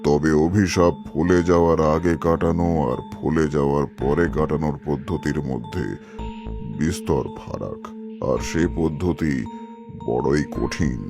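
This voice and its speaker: A grave occult priest and storyteller, played by a man, with a low voice and solemn, measured delivery. It is scripted cartoon horror narration, with music clearly playing behind it. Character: grave male tantric priest narrating a ghost tale.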